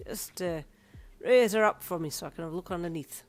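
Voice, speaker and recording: A woman's voice: fairly low pitch, brisk, soft background music, close to an everyday voice. A woman voicing a friendly, chummy Irish man who works as a piercer and tattoo artist in a narrated story. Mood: businesslike, friendly. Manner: chummy, colloquial, cheery.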